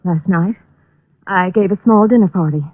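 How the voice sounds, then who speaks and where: A woman's voice: mid-range voice, moderate pace, narrow, tinny audio, slight background noise, close to an everyday voice. A woman playing an anxious, genteel suspect, hesitant and dramatic in 1940s radio style. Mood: nervous. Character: anxious, genteel young woman under police questioning. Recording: thin, reverberant old radio recording.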